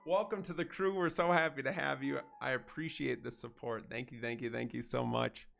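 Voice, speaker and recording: A man's voice: mid-range voice, fairly even intonation, fairly quick, vocal fry, fairly bright tone, faint music behind. A man streaming a video game in casual chat, congested from a head cold and sounding nasal, easygoing and chatty as he thanks his viewers. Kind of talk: casual gameplay livestream chat.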